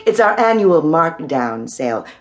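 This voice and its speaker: An actress playing a poised, eerily knowing saleswoman in a radio drama, courteous, measured, coy and evasive. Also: deep voice, big pitch swings, full character voice. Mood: smooth, coy.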